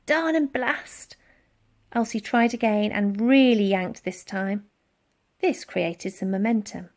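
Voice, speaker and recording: A woman reading a children's storybook aloud in a dramatic, expressive narrating style. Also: mid-range voice, slow pace. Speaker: audiobook reader.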